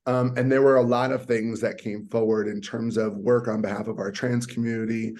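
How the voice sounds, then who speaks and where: A man speaking through a video call, his voice a little raspy from a long night. He explains things earnestly at a middling pitch, with frequent ums and restarts. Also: level tone, a little fast, darkish tone. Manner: earnest, many fillers and restarts. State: slightly raspy voice. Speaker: guest, community center leader. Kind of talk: virtual panel conversation. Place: conference hotel.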